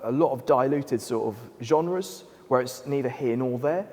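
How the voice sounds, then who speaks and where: Raspy man with a reverberant sound. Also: mid-range voice, normal speed, darkish tone. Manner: rambling storyteller, many restarts. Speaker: band member guest. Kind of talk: casual interview chat. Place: film studio stage. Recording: studio with long reverb.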